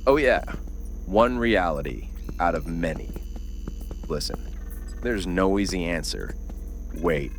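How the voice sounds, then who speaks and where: Deep-voiced, weary survivor. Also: male voice, lively intonation, takes it slowly, long pauses, soft background music, full character voice. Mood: guarded, hushed urgency. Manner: wry, slangy, world-weary. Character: weary, wounded male survivor, gruff wry guide. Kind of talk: horror audio drama acting.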